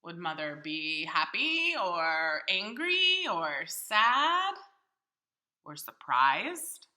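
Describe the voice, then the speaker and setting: Woman storybook reader, bright tone, lively swooping intonation, expressive, theatrical, addressing young children, roomy recording. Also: on the low side. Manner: theatrical, pauses to question young listeners.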